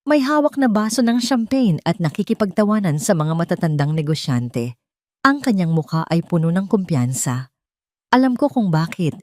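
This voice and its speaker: A woman's voice: fairly low pitch, a little mellow, no echo, studio quality. A woman reading a scripted first-person story as a dramatic narrator.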